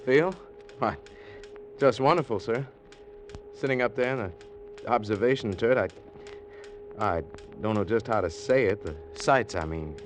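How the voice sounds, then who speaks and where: An actor playing an earnest, deferential lieutenant aboard a spaceship, halting and dreamy, in 1940s radio drama style. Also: male voice, on the low side, natural voice. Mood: wonderstruck. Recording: noisy, humming vintage radio recording.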